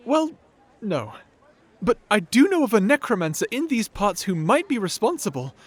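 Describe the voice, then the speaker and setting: A man's voice: sits high, very animated, moderate pace. A man playing a shy, nerdy young wizard in a fantasy audio roleplay, awkward, apologetic and polite, stammering through his words. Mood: nervous, evasive.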